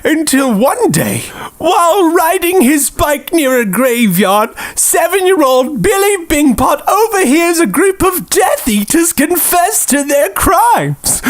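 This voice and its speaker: A narrator reading a scripted comic storybook piece. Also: sits high.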